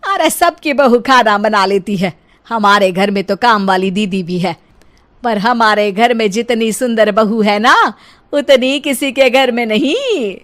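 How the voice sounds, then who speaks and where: A woman voicing a proud, doting middle-aged mother-in-law in a story, chiding in a drawn-out way. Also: fairly high pitch, animated, fairly bright tone. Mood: boastful.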